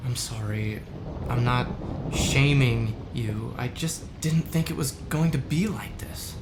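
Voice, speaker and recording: A man's voice: mid-range voice, slow pace, noisy background. An actor playing a hurt, reproachful husband and father in a musical audio drama. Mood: subdued. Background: musical accompaniment.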